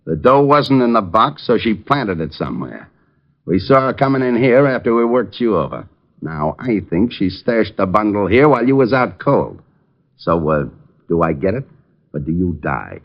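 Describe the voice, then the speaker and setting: An actor playing a sneering, threatening American gangster who talks in rough street slang, in old radio crime drama style. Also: male voice, fairly low pitch, very animated, moderate pace. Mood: cold threat. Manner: tough street slang, bad grammar, threatening. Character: menacing, sneering male gangster with a gun. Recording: muffled old radio recording.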